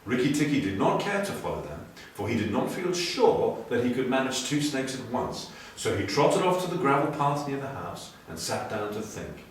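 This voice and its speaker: A man reading a story dramatically, moving between hushed and emphatic delivery. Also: medium pitch, very animated, normal speed, hardly any pauses. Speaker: story reader. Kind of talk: expressive storybook narration. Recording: very echoey room, distant, faint noise.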